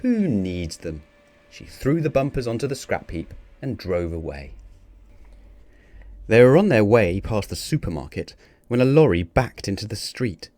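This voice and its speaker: A man's voice: fairly low pitch, very animated, moderate pace, darkish tone, dry recording. A man narrating a children's picture book expressively, theatrical on the sound-effect words. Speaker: storybook reader.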